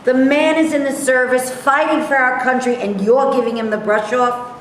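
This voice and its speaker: A woman's voice: sits high, steady tone, moderate pace, close to an everyday voice. A woman playing a brash, opinionated, combative man in an amateur staged play reading, blunt and needling in manner. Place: echoey room, staged reading. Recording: very echoey room, faint noise.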